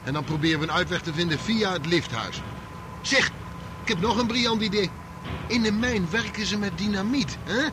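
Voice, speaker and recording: A gruff, aggressive criminal man in a Dutch radio play, with a Netherlands accent. His high-pitched voice is coarse, sarcastic and bossy. A clear noisy rumble sits behind it. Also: expressive, a little fast. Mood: scheming. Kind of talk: radio drama acting.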